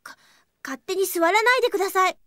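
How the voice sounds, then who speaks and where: A voice actress playing a childlike, emotional high school girl in an anime audio drama, earnest and girlish. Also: fairly even intonation, quick pace, a bit bright, no room sound, studio quality. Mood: indignant.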